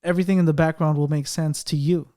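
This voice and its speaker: A man with a fairly high voice and a slight stutter, hosting a podcast in casual conversation. He talks animatedly, with frequent restarts, and slips into other people's voices to make his point. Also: steady tone, fast talker, warm tone. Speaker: podcast host. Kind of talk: casual chat.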